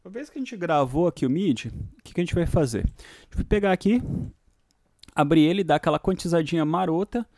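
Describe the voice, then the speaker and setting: High-voiced Brazilian man giving a tutorial. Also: expressive, fairly quick, darkish tone, dry recording, studio quality. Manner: informal, frequent tag questions. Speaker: music production tutorial host. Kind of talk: casual screencast tutorial, thinking aloud. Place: indoors, voice-over on a screen recording.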